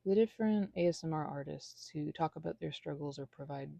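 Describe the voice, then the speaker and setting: Woman, 30s, low and creaky, earnest and halting, intimate ASMR ramble with a self-deprecating streak. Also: mellow, no room sound. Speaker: ASMR channel creator. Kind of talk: rambling confessional ASMR monologue.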